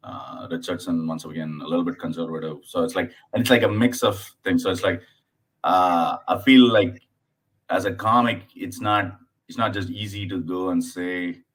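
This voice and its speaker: A man from southern India in his thirties, talking as a comedian guest on a casual podcast. His voice sits very low, and he speaks haltingly, circling back with fillers and restarts as he tells stories. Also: steady tone, a bit bright. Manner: acts out his mother's voice in anecdotes.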